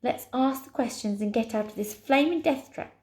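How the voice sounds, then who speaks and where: A woman's voice: fairly high pitch, normal speed, darkish tone, a little hiss or hum, pitched above the performer's own voice, natural voice. A woman voicing a small, combative male spoon warrior in a storybook, with a Scottish accent and a snappy manner.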